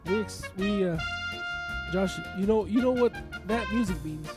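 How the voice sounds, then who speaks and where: A man co-hosting a podcast with casual, jokey banter, his voice slightly raspy from being under the weather. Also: sits high, measured pace, music in the background. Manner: playful, jokey. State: recovering from illness, slightly raspy. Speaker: podcast co-host.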